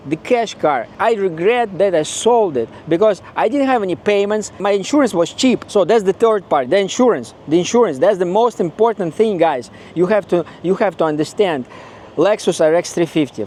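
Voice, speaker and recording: Ukrainian-accented man, fairly high voice. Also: a little mellow, a little hiss or hum. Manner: blunt, regretful, repeats himself. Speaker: car owner vlogger. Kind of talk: casual vlog monologue.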